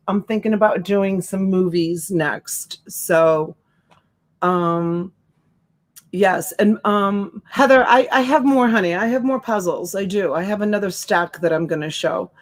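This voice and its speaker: A woman in her forties with a slight Boston accent, hosting a casual live-stream auction from home and greeting viewers by name with endearments. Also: medium pitch. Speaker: live-stream seller and host. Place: home room with shelves. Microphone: desk USB mic.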